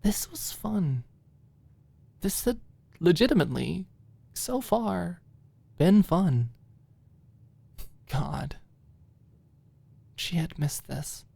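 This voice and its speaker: A woman's voice: on the low side, animated, extremely slow, vocal fry, pauses often, dark tone, breathy edge, dry recording. A woman narrating a scripted ASMR audiobook story, close to the microphone.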